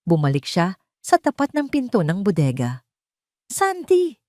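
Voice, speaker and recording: A woman's voice: on the low side, sing-song, moderate pace, dark tone, dry recording, clean studio sound. A Filipino woman reading a scripted dramatic story as its narrator.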